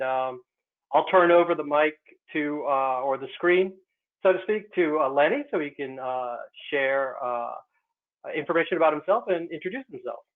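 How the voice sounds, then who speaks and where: Man, artist, halting speech, tinny phone-like audio, video call. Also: fairly high pitch. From United States. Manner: hesitant, many fillers. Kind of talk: casual online artist talk.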